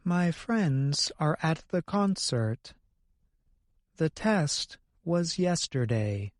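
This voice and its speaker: Male lesson co-presenter, scripted, drawn-out careful pacing, no room echo. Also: a little high, big pitch swings, clean studio sound. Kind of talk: scripted example reading.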